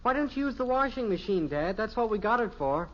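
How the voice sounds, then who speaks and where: An actor playing an eager, literal-minded teenage son in a 1950s radio sitcom, naive and persistent. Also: male voice, high voice, sing-song, a little fast, a bit bright. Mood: puzzled, cheeky. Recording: dull old radio recording.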